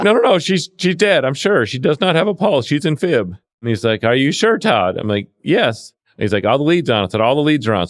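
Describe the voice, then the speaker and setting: A man's voice: mid-range voice, dry recording, studio quality. A man working as a paramedic and instructor, telling anecdotes conversationally and acting out the dialogue. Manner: acts out exchanges with quoted dialogue. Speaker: paramedic and CPR instructor telling field stories. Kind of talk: animated anecdotal storytelling in conversation.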